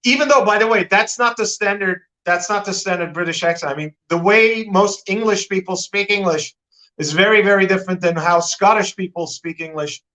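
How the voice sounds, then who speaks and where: A man's voice: high-pitched, fairly quick, bright, forceful tone, low-quality recording. A man with an American accent, a guest in a live-stream interview, talking at length with many restarts and repeated words. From Turkey.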